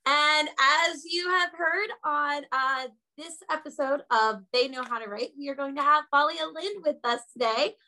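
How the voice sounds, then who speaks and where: Bubbly high-voiced woman co-host. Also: normal speed, few pauses, pushed and bright, low-quality recording. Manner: bubbly, enthusiastic, laughs a lot. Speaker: podcast co-host. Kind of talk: casual podcast chat.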